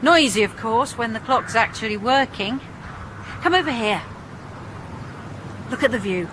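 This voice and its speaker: An actress playing a possessive, quietly commanding female ghost in an intimate radio horror drama. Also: on the high side, lively intonation, unhurried, noisy background. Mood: coolly insistent.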